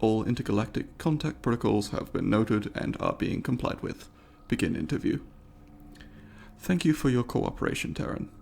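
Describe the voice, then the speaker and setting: Man playing a gravelly, polite alien student. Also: mid-range voice, expressive, normal speed, a little mellow, slight background noise. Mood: formal, businesslike. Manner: formal, precise, inquisitive and apologetic. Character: polite, eager alien student studying humans. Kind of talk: ASMR roleplay, addressing the listener.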